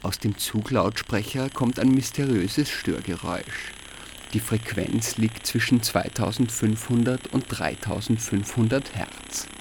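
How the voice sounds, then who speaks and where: A man from Austria reads a scripted first-person audio diary as narrator, in a measured, dryly wry way. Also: fairly low pitch, noisy background.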